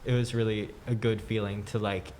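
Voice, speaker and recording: Lowish young man, casual chat. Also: flat delivery, normal speed, a little mellow, slight background noise. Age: young adult. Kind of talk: casual conversation.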